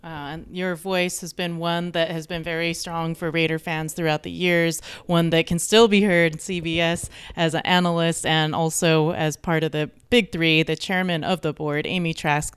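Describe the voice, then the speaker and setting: A woman's voice: low voice, clear, resonant tone. A woman hosting a sports show, interviewing a guest in a friendly, upbeat way. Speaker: show host.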